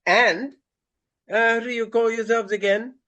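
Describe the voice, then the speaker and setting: An actor playing a vain, jovial Regency stage actor with courtly, effusive, old-fashioned speech in a radio play. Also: male voice, very high-pitched, fairly even intonation, measured pace, bright, forceful tone, exaggerated voice. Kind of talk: radio drama acting.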